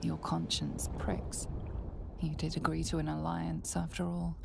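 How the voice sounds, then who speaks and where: A brooding dark fantasy narrator. Her voice is low and heavily gravelly, hushed and deliberate, with clear ambient noise behind it. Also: lively intonation, full character voice. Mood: ominous. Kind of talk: scripted game narration.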